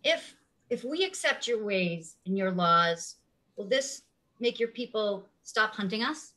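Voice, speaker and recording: A woman playing a grave animal council member in a play reading, firm and deliberate. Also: medium pitch, lively intonation, bright, forceful tone. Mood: challenging.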